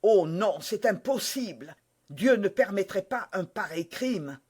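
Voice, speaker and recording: A reader voicing a grave, brooding older musketeer who speaks in a low, heavy, broken way. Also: lively intonation, measured pace, darkish tone, slightly rough. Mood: horrified. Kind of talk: audiobook character voice.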